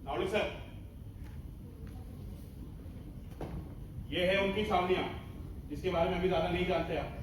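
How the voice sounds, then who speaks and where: Casting: male actor as high-voiced, authoritative army major, principled and emphatic, stage play, recorded far off in an echoing hall. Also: fairly even intonation, quick pace, natural voice. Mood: insistent. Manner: authoritative, emphatic, mixes in English orders. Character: commanding, principled Indian army major guarding a prisoner. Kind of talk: theatrical Hindi stage acting. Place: stage of a hall at a student festival. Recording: echoey hall, far mic, faint noise.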